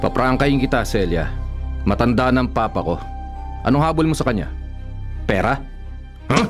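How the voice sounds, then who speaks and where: A man's voice: mid-range voice, very animated, moderate pace, soft background music, natural voice. A man acting in a Filipino radio drama as a man of the household, emphatic and melodramatic, with a Filipino accent. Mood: menacing, leering. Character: men of a family: devoted husband, brash stepson.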